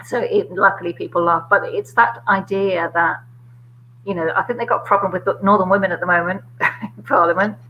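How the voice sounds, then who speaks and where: An older woman with a London middle-class accent, chatting casually about politics with many fillers and quick laughs. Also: medium pitch, steady tone, dull audio, slight background noise. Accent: London middle-class English. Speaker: guest, former MP.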